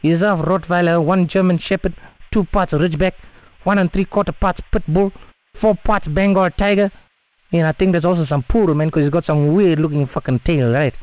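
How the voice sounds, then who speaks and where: A man's voice: fairly high pitch, fairly even intonation, normal speed, a little mellow, dry recording. A man with a South African Indian English accent making a prank phone call in cheeky, rambling, slangy chat. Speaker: prank caller. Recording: dull lo-fi phone call audio.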